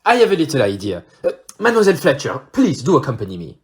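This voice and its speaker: A performer playing a courteous, shrewd Belgian male detective with a French-speaking Belgian accent, husky voice and formal, theatrical manner in an audio play. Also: medium pitch, huge pitch swings, quick pace, full character voice. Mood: excited. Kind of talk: amateur audio play acting.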